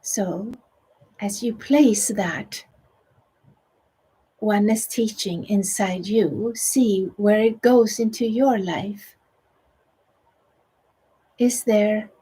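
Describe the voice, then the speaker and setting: Swedish-accented woman, breathy, mellow, unhurried, soft-spoken meditation teacher. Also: medium pitch, poor audio. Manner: soft, slow and soothing, often near a whisper. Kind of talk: guided meditation and spiritual teaching.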